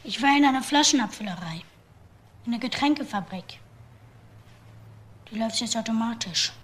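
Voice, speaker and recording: A man's voice: very high voice, expressive, moderate pace, fairly bright tone. A young male actor playing a naive, withdrawn seventeen-year-old brother who answers simply and hesitantly in a crime radio play. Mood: subdued, naive. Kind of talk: German crime radio drama acting. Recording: roomy, faint background noise.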